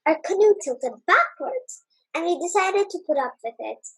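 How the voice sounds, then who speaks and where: A woman's voice: extremely high pitch, sing-song, normal speed, a bit bright, poor audio. A young girl of about nine reading an audiobook story aloud as narrator. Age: child, about nine. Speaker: primary school pupil reading aloud.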